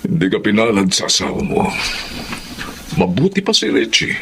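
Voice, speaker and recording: An actor playing a disapproving, meddling Filipino relative in a radio drama. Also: male voice, on the low side, wildly swooping pitch, moderate pace. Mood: disapproving, teasing. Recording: clear background noise.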